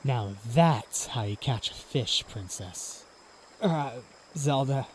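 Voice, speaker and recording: A man playing a gallant young knight in a fantasy audiodrama, protective and gently teasing, with a soft but firm voice. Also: mid-range voice, animated, slow, slight background noise, rough recording. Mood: smug, teasing.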